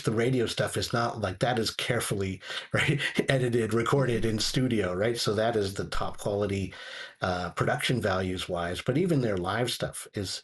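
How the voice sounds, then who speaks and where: A man talking casually and enthusiastically as a guest reviewer on a discussion show, often checking in with his listeners. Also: lowish voice. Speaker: podcast guest reviewing audio drama.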